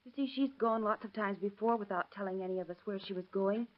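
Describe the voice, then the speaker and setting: A woman's voice: mid-range voice, lightly acted. Actress as an anxious hesitant woman, muffled old radio. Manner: halting, apologetic, polite. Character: anxious, hesitant woman seeking her missing sister. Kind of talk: 1930s radio acting. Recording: dull vintage radio drama recording.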